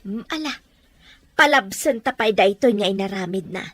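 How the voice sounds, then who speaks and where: A woman's voice: mid-range voice, animated, moderate pace, fairly bright tone, dry recording. A woman with an Ilocano accent playing a worried, disapproving farm wife in an audio drama. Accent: Ilocano, from the Philippines.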